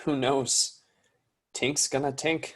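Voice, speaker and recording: A woman's voice: rumbling low, steady tone, slow, heavily acted. A woman playing a laid-back young adventurer in a casual dramatic table read. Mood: wry.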